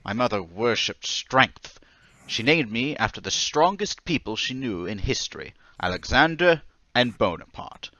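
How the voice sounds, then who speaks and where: A troubled man played by an actor in an old time radio play, confessing in desperation. His voice is bright and tense and swings widely in pitch as he stammers and pleads. The sound is close and dry. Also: animated, no echo, lo-fi sound. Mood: brooding, unsettled. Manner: halting, agitated, stammering, pleading.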